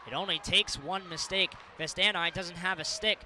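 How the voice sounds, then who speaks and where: A man's voice: high voice, fairly even intonation, normal speed, sharp tone, a little hiss or hum. A man in his early twenties working as a sports broadcaster, delivering commentary and reporting. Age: early 20s. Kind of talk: hockey play-by-play commentary and scripted sports news narration.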